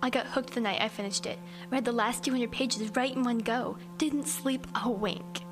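High girlish voice, woman acting as pushy ten-year-old, brusque, confident, radio drama, music behind. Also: fairly even intonation, moderate pace, lightly acted. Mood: conspiratorial. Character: bold, pushy ten-year-old girl, horror fan.

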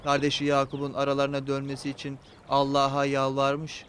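A man's voice: mid-range voice, completely flat delivery, a little fast, fairly bright tone, a little hiss or hum, lightly acted. A man playing a villager passing on news in a religious cartoon. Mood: informative.

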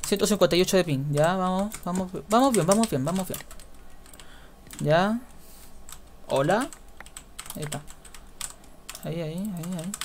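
Soft-muttering Chilean young man. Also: sits high, normal speed, long pauses, a little hiss or hum. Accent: Chilean Spanish. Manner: quiet muttering, repeats words in runs. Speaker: gaming YouTuber. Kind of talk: casual gameplay commentary.

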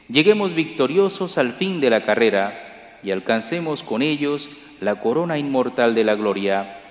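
A middle-aged priest celebrating Mass at the altar, reciting liturgical prayers in a measured, solemn way. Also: male voice, mid-range voice. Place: large church sanctuary, at the altar. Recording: echoey church with long reverb.

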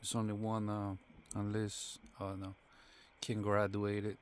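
A man reviewing a product casually, thinking aloud in short, halting fragments. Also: on the low side, completely flat delivery, slight background noise.

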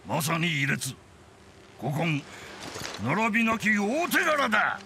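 A fatherly village leader of hunters, declaims with authority in a dramatic game scene. His pitch rises and falls widely over a slow, weighty pace, with clear music behind him. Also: big pitch swings, sharp tone, heavily acted. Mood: satisfied, proud. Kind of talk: dramatic Japanese video game acting.

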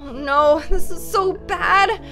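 A woman hosting a game roleplay, talking in a dramatic, whiny, animated way into a desk microphone. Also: very high voice, slow pace. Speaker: roleplay gamer host. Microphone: desk microphone with webcam. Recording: music playing behind.

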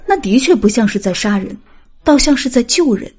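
High mellow female storyteller. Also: expressive, fairly quick, breathy edge. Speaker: audiobook narrator. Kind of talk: dramatic scripted story narration.

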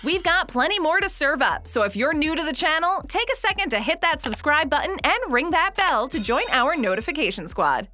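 Bright upbeat woman narrator. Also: sits high, very animated, brisk, few pauses, a little hiss or hum. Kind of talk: scripted list narration.

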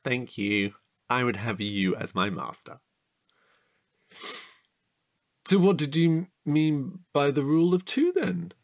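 An actor playing a chatty, excitable, needy sidekick in a comic audio drama, rambling eagerly and theatrically. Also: male voice, mid-range voice, measured pace. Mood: needy, teasing.

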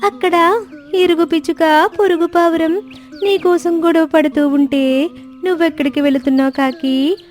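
Woman as a high-pitched, nosy cartoon parrot. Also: fairly even intonation, normal speed, long pauses, darkish tone. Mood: coaxing. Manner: coaxing, questioning. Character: nosy, scheming female parrot, outwardly sympathetic. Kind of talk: children's cartoon story dialogue. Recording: music bed under the voice.

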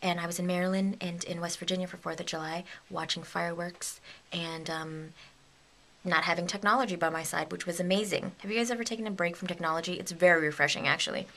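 Young woman, bright voice, vocal fry, rambling, sleepy, close handheld camera. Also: fairly low pitch, fairly even intonation, quick pace. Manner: bubbly, rambling, giggly, drops to a whisper at times. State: sleepy and a bit delirious. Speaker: vlogger. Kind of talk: casual vlog chat. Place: home video studio with a big red chair and green screen. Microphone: handheld camera held close to the face.

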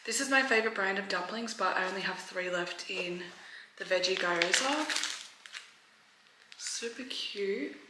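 A woman's voice: mid-range voice, a little slow, long pauses. A woman, chatting casually to camera while she cooks, full of "like" and fillers. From Australia. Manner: chatty, filler-heavy with frequent "like". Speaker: vlogger. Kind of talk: casual vlog chat. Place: home kitchen, indoors. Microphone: camera mic, handheld or propped close. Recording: echoey kitchen with clear background noise.

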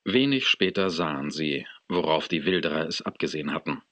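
A man narrating a children's audio drama in a measured storytelling manner. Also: a bass voice, lively intonation, fairly bright tone, soft background music.

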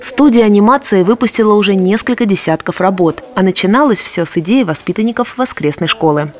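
A woman's voice: medium pitch, brisk. A woman news reporter reading scripted narration for a television report.

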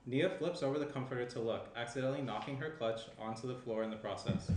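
A man's voice: mid-range voice, quick pace, a little mellow. A young man reading stage directions as the narrator of a screenplay table read. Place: indoor room, seated reading from tablet. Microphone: shared desk microphone, some distance. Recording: roomy echo, faint background noise.

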